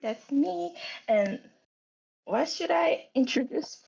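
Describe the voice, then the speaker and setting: A woman's voice: sits high, lively intonation, slow, vocal fry, darkish tone. A young woman from Japan with a Japanese accent, chatting as a podcast guest and searching for her words as she goes. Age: young adult. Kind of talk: casual podcast chat. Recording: dull lo-fi sound.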